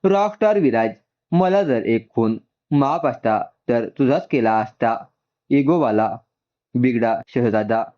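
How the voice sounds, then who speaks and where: A man's voice: mid-range voice, lively intonation, slow. Man narrator as feisty young woman, resentful, scolding, story reading, dull lo-fi recording. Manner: grumbling, scolding. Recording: dull, muffled lo-fi sound.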